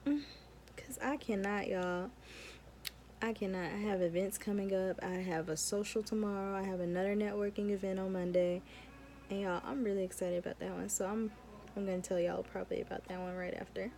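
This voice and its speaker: A woman's voice: mid-range voice, steady tone, brisk, creaky, mellow. A young adult woman, a law student, chatting informally and giving advice in a casual vlog with emphatic repetition.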